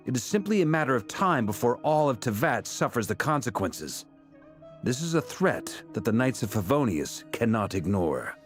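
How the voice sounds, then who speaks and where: A stern, duty-bound male knight from a fantasy game acted by a man speaks gravely and formally. His medium-pitched voice moves widely up and down over faint music. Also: close to an everyday voice.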